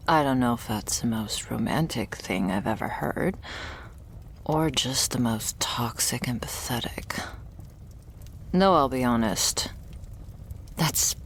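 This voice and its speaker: Woman acting a slow, creaky, sardonic vampire's servant. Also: slight background noise, full character voice. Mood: wry, relieved. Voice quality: low, soft voice often dropping into whisper. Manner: dry, hesitant, foul-mouthed, sighing delivery. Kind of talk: ASMR roleplay acting, intimate and conversational. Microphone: close ASMR microphone.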